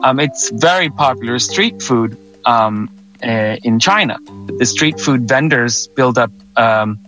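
A man from Sweden, a museum co-founder, talking in an interview with many fillers and restarts and lively emphasis. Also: mid-range voice, slow, soft background music, low-quality recording.

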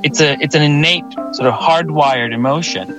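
A man interviewed as a museum guest, explaining ideas with many pauses and restarts. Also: a little high, music in the background. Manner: hesitant, thinks aloud with fillers and restarts.

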